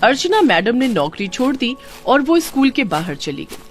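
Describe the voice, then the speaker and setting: A woman's voice: fairly high pitch, very animated, moderate pace, soft background music. Woman narrating a children's story.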